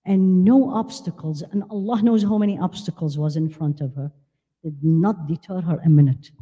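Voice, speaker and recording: An older woman born in Iraq, giving an animated religious lecture at a women's conference, holding a handheld mic and asking the audience questions. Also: fairly low pitch, normal speed, breathy edge. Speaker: Islamic lecturer. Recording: long hall reverb.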